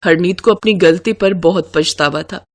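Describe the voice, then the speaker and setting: A woman's voice: on the low side, moderate pace. A woman storyteller reading scripted moral story narration.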